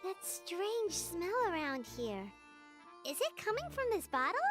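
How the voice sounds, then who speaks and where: A woman's voice: very high-pitched, sing-song, unhurried, fairly bright tone, soft background music, exaggerated voice. A woman voicing a small, childlike, curious companion creature in a video game.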